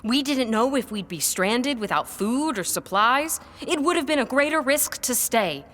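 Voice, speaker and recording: A woman playing a composed, sceptical manor employee in a period audio drama, with a measured, formal, restrained manner. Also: fairly high pitch, animated, a bit bright. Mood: grave recounting.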